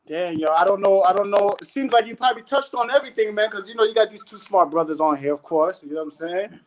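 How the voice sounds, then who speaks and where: An animated man guests on a casual panel, preaching his views in street slang and checking in with "you know what I'm saying" over and over. His voice rides unusually high for a man. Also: quick pace.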